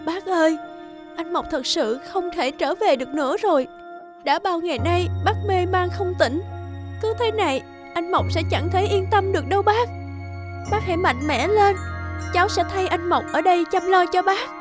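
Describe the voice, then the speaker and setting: Animated devoted young village woman, very high, earnest, pleading, music behind. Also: normal speed. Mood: worried. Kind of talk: animated folk tale voice acting.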